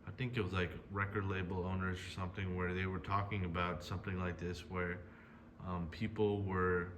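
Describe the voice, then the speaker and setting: A man commenting casually on a video he is reacting to, talking in a hesitant, halting way with many fillers and restarts. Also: low-pitched, flat delivery, fairly bright tone, a little hiss or hum. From United States.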